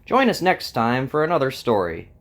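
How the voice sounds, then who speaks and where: A man's voice: medium pitch, huge pitch swings, normal speed, loud music behind the voice. A man hosting and narrating a scripted dramatized story. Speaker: narrator and host.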